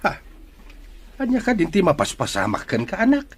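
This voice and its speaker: A man's voice: high-pitched, wildly swooping pitch, normal speed, slight background noise. A man playing a worried, tender father in a melodrama, coaxing his troubled son with earnest feeling. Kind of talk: Ilocano melodrama acting.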